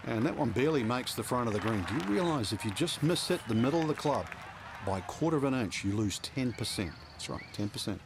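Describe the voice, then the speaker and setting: A man's voice: mid-range voice, animated, fast, a crowd in the background, background noise, natural voice. A man playing a knowledgeable golf analyst, explaining shots conversationally in video game broadcast commentary. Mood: explanatory.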